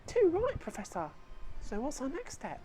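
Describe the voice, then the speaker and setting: Woman as eager boy apprentice, raised pitch, polite, inquisitive, video game character. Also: huge pitch swings, moderate pace, dark tone. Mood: eager, curious.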